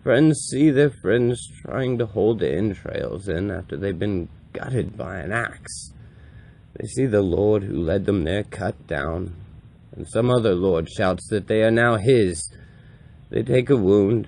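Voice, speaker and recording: A man performing a grave, compassionate old wandering priest in a solemn, deliberate dramatic monologue with a gravelly voice. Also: low voice, darkish tone, heavily acted. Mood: somber. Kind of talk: dramatic audiobook-style monologue reading.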